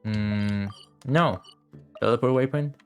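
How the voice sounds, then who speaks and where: A man's voice: mid-range voice, slow pace. A young man doing casual livestream commentary over a video game, rambling and thinking aloud. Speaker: game streamer. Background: game music.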